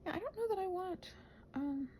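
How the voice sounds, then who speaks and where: A woman in a casual, rambling ASMR vlog, soft-spoken and self-deprecating, thinking aloud in a meandering way and sometimes dropping toward a whisper. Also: very high-pitched, expressive, fairly quick, slight background noise. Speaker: ASMR creator rambling while crafting.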